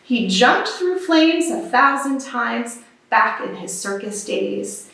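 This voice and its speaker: A woman reading a picture book aloud for children in an expressive, animated storytelling manner. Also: fairly high pitch, takes it slowly. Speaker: storybook reader. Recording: very echoey room, distant microphone.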